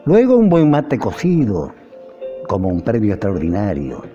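An older Argentine man reciting a nostalgic poem in a measured, declamatory way, with phrases that rise and fall. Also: on the low side, huge pitch swings, mellow, breathy edge. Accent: Argentine Spanish. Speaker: poetry reciter. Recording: dull lo-fi recording with music. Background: music behind the voice.

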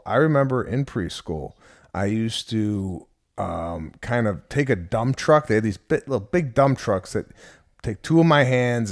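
Low gravelly guy on a podcast, casual storytelling, dry close sound. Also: no room sound. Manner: animated, rises in pitch when amazed. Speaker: podcast co-host.

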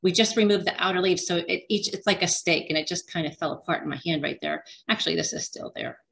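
A woman's voice: lowish voice, fast, gravelly, a bit bright, a little hiss or hum. Chatty woman giving a cooking demo. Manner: chatty, rambling, frequent ums and restarts. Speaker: dietitian cooking instructor. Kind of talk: casual cooking demonstration.

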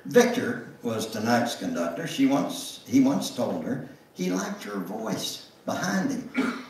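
An older man giving a dramatic memoir reading in a deliberate, theatrical storytelling manner. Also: medium pitch, slight background noise. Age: older, 70s or above. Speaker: guest speaker reading aloud. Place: church sanctuary, at a lectern. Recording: echoey room, recorded from far off.

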